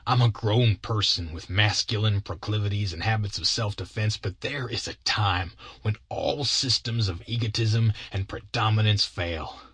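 A man's voice: lowish voice, moderate pace, few pauses, a bit bright, breathy edge, no echo. A man voicing a harried, aggrieved rustic crook with pompous yet folksy speech in an audiobook. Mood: weary, aggrieved. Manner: aggrieved, mock-grandiose wording, folksy grammar. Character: harried, put-upon grown man, small-time rustic crook. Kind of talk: audiobook character voice.

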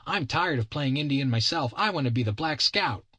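A man's voice: mid-range voice, expressive, moderate pace, pushed and bright, slightly rough, no room sound, voice pushed up. A man voicing a bossy, whiny little boy playing at being a frontier scout in an audiobook. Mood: eager, insistent. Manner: whiny, demanding, folksy grammar. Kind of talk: audiobook character voice.

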